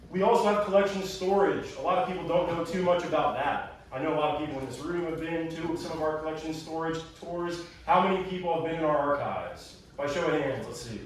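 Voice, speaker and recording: A man giving a lively museum talk with slides to an audience, chatty and energetic. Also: on the high side. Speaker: museum staff presenter. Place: meeting room with audience. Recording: echoey room, far from mic.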